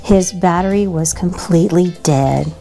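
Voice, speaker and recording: A woman narrates a picture book for children. Her voice is fairly low and mellow, and she reads expressively at a very slow pace. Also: creaky, faint music behind. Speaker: children's storybook reader.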